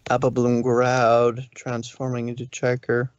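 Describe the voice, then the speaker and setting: A man's voice: medium pitch, completely flat delivery, measured pace, no echo, lo-fi sound. A man narrating a fan fiction story on a live stream, adding casual asides. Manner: plain reading with asides. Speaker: reader, narrator.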